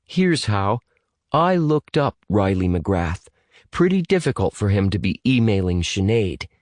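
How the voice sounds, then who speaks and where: A man's voice: on the low side, very animated, measured pace, a little mellow, no echo, clean studio sound. A man voicing a young male computer geek who talks casually, directly and eagerly in an audiobook story. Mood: matter-of-fact.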